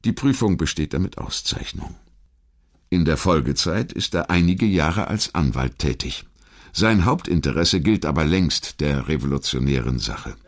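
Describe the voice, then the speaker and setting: A man reading scripted biographical narration in a measured, formal storytelling manner. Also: a bass voice, expressive. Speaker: documentary narrator.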